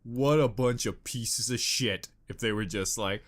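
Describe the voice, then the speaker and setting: A man's voice: mid-range voice, a little slow. A man giving casual, jokey reaction commentary with sarcastic remarks and mild swearing. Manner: jokey, sarcastic, mildly profane. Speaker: reaction video host.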